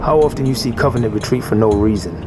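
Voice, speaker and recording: A man playing a gruff, sardonic veteran soldier with a dry delivery in a video game cutscene. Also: mid-range voice, quick pace, over music, close to an everyday voice. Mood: suspicious.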